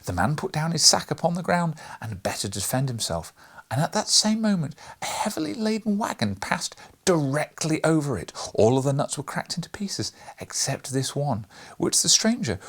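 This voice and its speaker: Guy doing an eccentric storybook puppet maker, exclaiming, pitched up, husky, swoops all over. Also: very animated, normal speed, fairly bright tone, heavily acted. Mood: eager storytelling. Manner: exclamatory, stammering, theatrical. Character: excitable, astonished puppet maker, eccentric adult brother.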